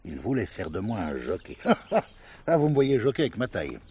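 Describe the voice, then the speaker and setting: Gruff, quick-tempered horseman for radio drama: low, animated delivery, faint noise behind. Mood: wistful, wry. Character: gruff, quick-tempered horseman running a western-themed ranch. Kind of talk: French radio play acting.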